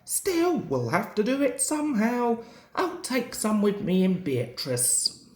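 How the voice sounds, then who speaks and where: A man's voice: sits high, wildly swooping pitch, takes it slowly, full character voice. A man voicing a flustered but willing railway guard in a children's storybook reading. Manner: exclaiming. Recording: roomy, echoing home recording.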